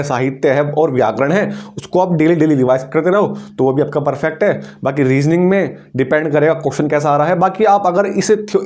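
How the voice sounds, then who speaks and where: A man speaks in a somewhat high-pitched voice. He teaches like an exam coach, informal and instructional, dropping English terms into his sentences and regularly adding short tag questions to check in with students. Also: darkish tone.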